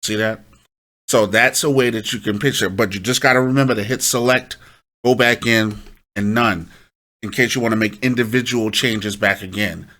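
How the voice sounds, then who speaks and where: A man's voice: lowish voice, steady tone, moderate pace, bright, forceful tone. A man hosting an informal step-by-step tutorial, instructing and often checking in with short tag questions. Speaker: host of a music production tutorial.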